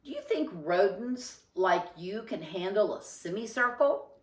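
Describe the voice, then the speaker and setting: A cool, kindly gentleman mouse who teaches school, as a woman reads him in a storybook. He talks in a measured, encouraging way at an unhurried pace, in a roomy space. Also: mid-range voice, big pitch swings. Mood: cheerfully breezy. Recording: roomy, echoing sound.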